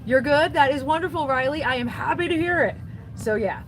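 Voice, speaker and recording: A woman with a slightly high voice chats on a livestream from inside her record store, bright and upbeat as she rambles and greets viewers by name. She keeps tossing in "so yeah" as she moves around. Steady noise sits behind her voice. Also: brisk. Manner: chatty and upbeat, often saying "so yeah". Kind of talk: casual livestream chat, reading viewer comments. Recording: noisy background.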